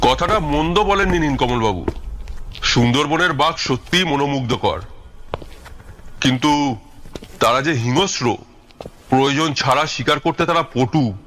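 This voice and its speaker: Guy playing cool detective, mid-pitched, calm and wry, kinda commanding, audio drama. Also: a little slow, pauses often, slight background noise, close to an everyday voice. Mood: assured. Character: calm, confident, commanding male Bengali detective. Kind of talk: audio story character acting.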